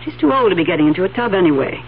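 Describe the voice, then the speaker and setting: An actress playing an impatient, resentful wife in a 1970s radio drama. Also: female voice, fairly low pitch, animated, very fast, a little hiss or hum. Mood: exasperated. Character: impatient, resentful wife, sick of caring for in-laws. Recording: tinny 1970s radio broadcast, faint music.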